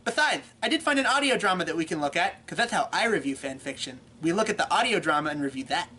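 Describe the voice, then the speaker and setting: A man with a high voice hosts a scripted fan fiction review. He narrates like an online reviewer and drops in wry, joking asides. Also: lively intonation, fast talker, pushed and bright, a little hiss or hum.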